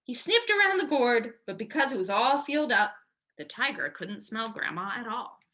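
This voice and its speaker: Bright, animated woman reading a storybook. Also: on the high side, moderate pace. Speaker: children's librarian, storyteller. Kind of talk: expressive storytime read-aloud for children.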